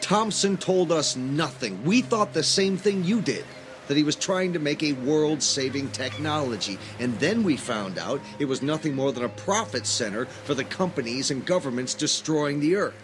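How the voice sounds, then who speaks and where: A man acting a fugitive researcher, idealistic and defensive. His earnest voice is slightly high for a man, played radio-drama style over clear music. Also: expressive, normal speed, close to an everyday voice. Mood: guarded, defensive. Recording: music under the voice.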